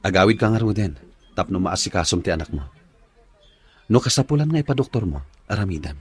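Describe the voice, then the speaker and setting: A man's voice: deep voice, lively intonation, a little fast, husky, heavily acted. A man playing an earnest, caring young office worker and suitor in an Ilocano radio drama, speaking expressively. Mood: concerned, tender.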